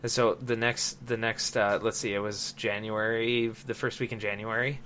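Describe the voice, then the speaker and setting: Man, late twenties, bright tone, casual podcast chat, faint noise behind. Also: on the low side, level tone, moderate pace. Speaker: podcast host. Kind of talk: casual chat about gaming.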